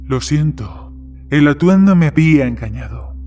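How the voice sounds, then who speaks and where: A man's voice: on the high side, animated, moderate pace, darkish tone, close to an everyday voice. A man playing guarded male characters in the dialogue of an audio horror story. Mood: sly, teasing. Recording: background music under the voice. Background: music.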